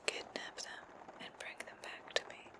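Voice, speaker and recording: A woman voicing a cold, commanding witch in an ASMR roleplay, speaking slowly in a breathy, threatening whisper. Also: close to an everyday voice. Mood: menacing, commanding. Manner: slow, commanding, coldly threatening. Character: menacing, domineering witch commanding an indebted servant.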